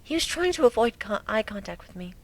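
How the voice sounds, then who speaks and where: A woman acts out a gentle, anxious young pegasus mare with a high, soft voice. She speaks hesitantly and can sink toward a whisper. It is a first-person dramatic reading of a cartoon fan story. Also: big pitch swings, brisk. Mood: timid, nervous. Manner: hesitant, soft-spoken, breaking into near whispers.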